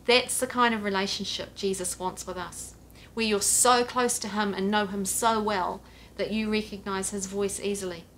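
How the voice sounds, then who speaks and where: A woman's voice: medium pitch, fairly even intonation, normal speed, fairly bright tone, slight background noise, poor audio. Earnest woman preaching from her sofa. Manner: earnest, direct, gesturing with her hands. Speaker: pastor. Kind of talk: home church sermon to camera. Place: living room, seated on a sofa. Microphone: camera at a few feet, head and shoulders framing.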